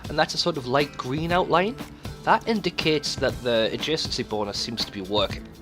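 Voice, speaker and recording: A man's voice: on the high side, animated, a little fast, fairly bright tone. A man doing casual, rambling video game commentary, swearing freely and talking to himself as he plays. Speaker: let's play host. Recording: music behind the voice. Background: game music.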